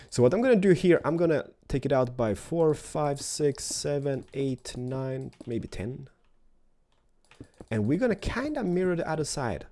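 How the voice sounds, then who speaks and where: A man's voice: medium pitch, dark tone. A man with a cold casually narrating a building game, thinking aloud and joking at himself. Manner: playful, thinking aloud, self-mocking. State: has a cold. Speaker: Minecraft building host.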